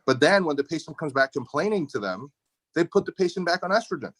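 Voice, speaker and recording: A man's voice: on the high side, animated, fast talker, fairly bright tone, no room sound. A middle-aged man from the Dominican Republic speaking informally and emphatically as a surgeon in a casual medical discussion. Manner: informal, opinionated, emphatic with slangy asides. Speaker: endometriosis surgeon, guest speaker. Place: video call.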